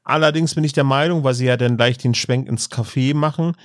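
Male co-host, mid-pitched, musing, dry close mic. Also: fairly quick, dry recording. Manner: musing, thinks aloud with restarts. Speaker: podcast co-host. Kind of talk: casual chat.

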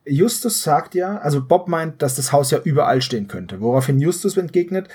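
A man co-hosting a podcast, casually retelling a story in analytical detail and correcting himself as he goes, in a slightly husky voice. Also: on the high side, animated, a little fast, darkish tone. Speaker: podcast co-host.

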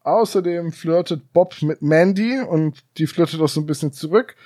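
A man's voice: fairly high pitch, normal speed, mellow, no room sound. A man co-hosting a podcast in casual chat, making wry, dry jokes. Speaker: podcast co-host. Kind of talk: casual chat reviewing an audio drama.

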